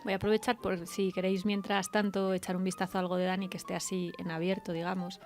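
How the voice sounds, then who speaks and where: A woman's voice: mid-range voice, little pitch movement, fast talker, a little mellow, soft background music. Woman from hosting a conversational interview. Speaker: radio interviewer, host. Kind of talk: informal literary radio interview.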